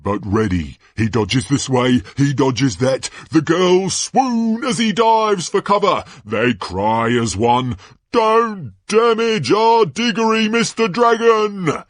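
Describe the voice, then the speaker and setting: High-pitched, bombastic tournament announcer. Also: male voice, big pitch swings, no room sound, exaggerated voice. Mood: breathless commentary. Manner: booming, drawn-out dramatic announcing. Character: flamboyant, bombastic male tournament announcer, gushing showman. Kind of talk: stage-play sports commentary read aloud.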